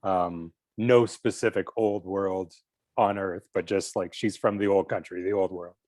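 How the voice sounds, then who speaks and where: Guy writer explaining stuff casually, lower voice, no room echo. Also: fairly even intonation, unhurried, darkish tone, clean studio sound.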